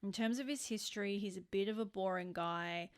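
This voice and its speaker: A woman with an Australian accent and a mid-pitched voice co-hosts a true crime podcast. She narrates like someone telling friends a story, informal and happy to swear. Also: fairly quick.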